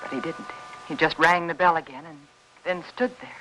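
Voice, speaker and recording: A woman's voice: low voice, normal speed, bright, forceful tone. An actress playing a worried housewife who recounts her tense ordeal in a 1950s film noir voice-over. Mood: tense, controlled. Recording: noisy old film soundtrack.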